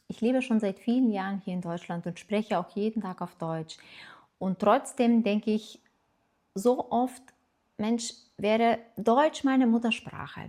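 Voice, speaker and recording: A woman's voice: on the high side, dark tone. A middle-aged woman from Lithuania with a Lithuanian accent, speaking German as a second language, talking casually and encouragingly to camera as a language teacher, with hesitations and fillers.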